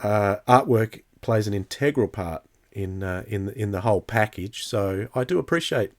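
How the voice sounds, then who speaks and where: A man hosting a podcast in a casual, hesitant way, chatting and reading out comments in a halting delivery. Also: fairly low pitch, animated. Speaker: podcast host.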